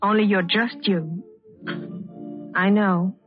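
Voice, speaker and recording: A woman acting an anguished, secretive lover in a melodramatic 1940s-style radio drama, pleading and full of pauses. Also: mid-range voice, steady tone, lightly acted. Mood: fond, insistent. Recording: dull old radio recording, music behind.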